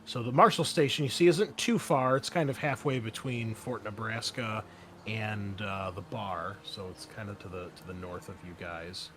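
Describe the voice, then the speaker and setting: Halting man running a tabletop game. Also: lowish voice, steady tone, background noise. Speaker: game master. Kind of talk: improvised tabletop game narration, casual.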